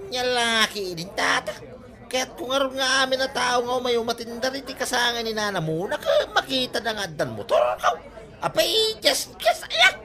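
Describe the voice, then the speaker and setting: Insistent, fairly high-voiced stubborn show-off played by a woman. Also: sing-song, normal speed, sharp tone, heavily acted. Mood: defiant. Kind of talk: Ilocano comedy drama acting.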